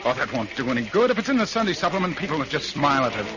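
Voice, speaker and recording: A man's voice: on the high side, sing-song, very fast. An actor playing a frightened, earnest man pleading in a stammering, urgent way, in a 1940s radio thriller. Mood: anxious, pleading. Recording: muffled, hissy, noisy old radio.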